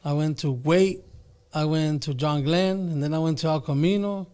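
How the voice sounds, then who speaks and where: Higher-voiced Chicano man chatting casually. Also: normal speed, dark tone, clean tone. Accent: Chicano Californian. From Southern California, United States. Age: around 40. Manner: informal, slangy code-switching. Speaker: rapper, podcast guest. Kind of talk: casual chat switching between Spanish and English.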